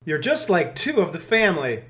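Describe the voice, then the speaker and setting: A woman's voice: fairly low pitch, sing-song, moderate pace, voice pushed down. A woman doing a courtly old-fashioned knight. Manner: formal, courtly.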